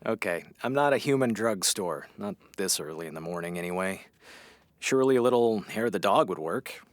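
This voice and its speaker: A man's voice: lowish voice, expressive, fairly quick, a little hiss or hum, natural voice. A man playing a wry, loyal radio station colleague in a comedy audio drama, with a teasing, sardonic manner.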